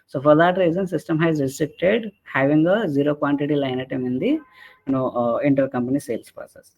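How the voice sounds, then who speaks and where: Higher-pitched man presenting, dull lo-fi audio. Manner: halting, repeatedly checks with "right?" and "okay". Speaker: webinar presenter, software trainer. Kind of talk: explanatory software training webinar.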